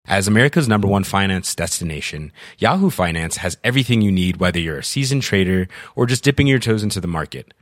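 Man, deep voice, confident commercial announcer, dry studio. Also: no echo. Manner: smooth, confident announcer delivery. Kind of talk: scripted commercial read.

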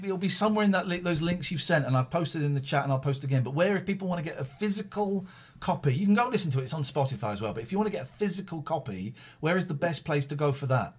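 A man's voice: a little high, lively intonation, fast talker, husky. A man hosting a casual online chat, talking in an effusive, chatty way with playful asides. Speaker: host.

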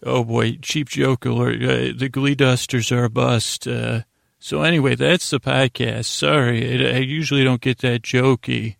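A man hosting a bedtime comedy podcast, rambling in a halting, meandering, self-deprecating way. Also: medium pitch, steady tone, creaky, no echo, studio quality. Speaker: podcast host.

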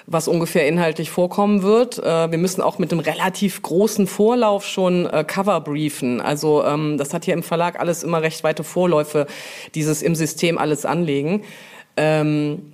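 A woman's voice: low voice, a little mellow. A woman chatting casually as an interview guest, explaining her work in a wandering, informal way. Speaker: interview guest, publishing brand manager.

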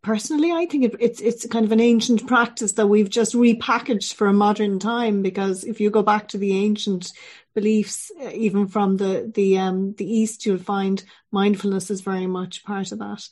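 A woman hosting a podcast, gently interviewing a guest and reading a news roundup. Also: mid-range voice, fairly even intonation, normal speed. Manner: gentle, friendly, conversational. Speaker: podcast host.

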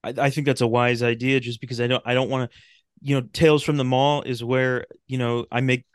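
Clear-voiced man chatting in a dry recording. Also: medium pitch, flat delivery, fairly quick, no echo. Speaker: podcast guest, talk show host and radio drama maker. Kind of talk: casual interview chat.

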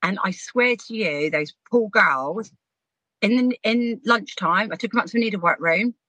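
Brief: woman, 50s, podcast guest, laughs through her stories, bright tone. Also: medium pitch, normal speed, no room sound. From Southampton, England. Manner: chatty, frank, laughs while telling stories. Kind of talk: casual storytelling chat.

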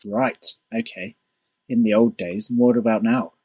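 A man hosting a casual interview, soft-spoken and hesitant, with his sentences trailing off. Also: on the low side, steady tone, moderate pace, breathy edge, no room sound, highs cut off. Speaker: podcast host.

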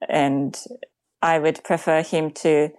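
A woman's voice: low-pitched, little pitch movement, takes it slowly, darkish tone, no room sound. A woman from Japan with a Japanese accent, a mother speaking English as her second language in a thoughtful, earnest way. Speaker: podcast guest, mother. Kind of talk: casual interview chat.

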